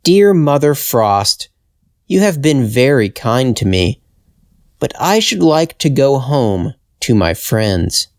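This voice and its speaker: Male storyteller as willing young maiden, earnest, polite, unhurried, animated fairytale delivery. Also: medium pitch, no echo, clean studio sound.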